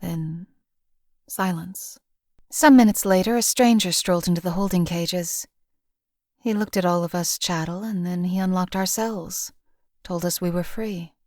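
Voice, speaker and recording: A cold, detached young woman who was raised as property and learned to kill without hesitation, voiced by an actress for a game. She speaks quietly and matter-of-factly with a slightly low voice. The recording is dry and close, with no room sound. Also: measured pace, no echo, close to an everyday voice. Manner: quiet, matter-of-fact, emotionally flat delivery. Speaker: game character.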